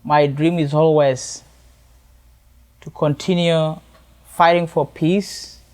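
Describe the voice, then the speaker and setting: Higher-pitched young South Sudanese man, earnest speech. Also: flat delivery, slow, a little mellow. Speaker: youth activist and charity event speaker. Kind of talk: prepared personal testimony speech.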